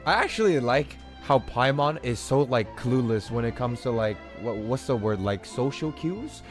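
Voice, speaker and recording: A man's voice: medium pitch, expressive, moderate pace. A man live-streaming a game, reacting casually with slangy, incredulous commentary. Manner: slangy, swearing, incredulous questions. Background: game music.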